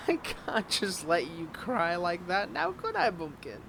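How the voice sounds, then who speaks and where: Male villain, played by an actor for romantic audio drama: scorned ex, mocking and seductive, teasing drawn-out delivery, clearly noisy outdoor backdrop. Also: high voice, huge pitch swings, full character voice. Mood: taunting, resentful. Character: flirtatious, taunting male villain, a bitter scorned ex-lover. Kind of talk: dramatic audio roleplay acting addressed to listener.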